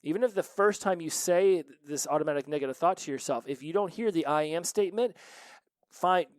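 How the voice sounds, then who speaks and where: A man's voice: on the high side, a little fast, no room sound. A man in his forties hosting a recovery podcast, giving an earnest solo motivational talk full of rhetorical questions. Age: 40s.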